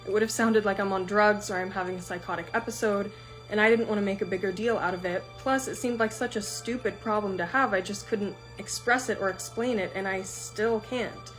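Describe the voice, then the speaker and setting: Female storytime host, mid-pitched, reads creepy tales aloud with rising tension over faint music. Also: steady tone, a little fast, rough recording. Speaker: storytime host reading viewer stories. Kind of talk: reading a first-person scary story aloud, then reacting.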